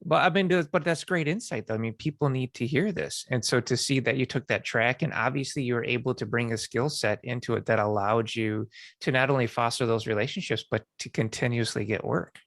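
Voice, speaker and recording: Creaky-voiced man hosting a podcast. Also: medium pitch, brisk, fairly bright tone, dry recording, studio quality. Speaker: podcast host and interviewer. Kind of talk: podcast intro and interview chat.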